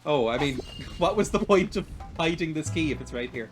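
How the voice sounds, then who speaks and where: A young man with a high, light voice talks casually as he plays through a video game, drifting from topic to topic and often laughing at himself. His pitch sits above the usual for men. Faint music plays underneath him. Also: animated, moderate pace. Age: 20s. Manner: rambling and self-deprecating, laughs often. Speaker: streamer. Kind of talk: casual chat while playing a video game.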